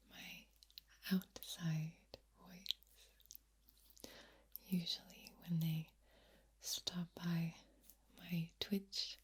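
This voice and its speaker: A woman with a low voice, whispering ASMR to the viewer in a soft, drawn-out, playful way and softly repeating words. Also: slightly rough. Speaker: ASMR creator and streamer.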